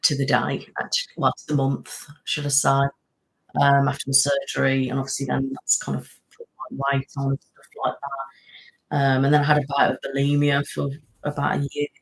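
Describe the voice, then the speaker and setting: A woman's voice: rumbling low, monotone, normal speed, gravelly, low-quality recording. A middle-aged British woman, an ASMR channel host, chatting casually on a live stream and answering viewers, rambling with many fillers. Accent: British English.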